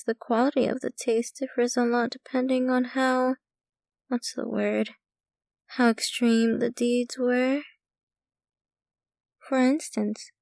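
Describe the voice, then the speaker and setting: A woman's voice: on the high side, gravelly, darkish tone, dry recording, clean studio sound. A woman playing a possessive, doting, ancient and wealthy vampire girlfriend in an intimate, teasing ASMR roleplay. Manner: sweetly possessive, teasing, unhurried, addressing the listener intimately.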